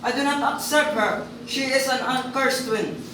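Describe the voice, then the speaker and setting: In a very echoey, noisy room, a woman reads a stubborn, guarded village male. The voice is on the high side and set back from the mic, in an amateur stage reading. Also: normal speed, a bit bright, distant mic. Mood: stern, rejecting. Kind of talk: amateur reader's theater dialogue.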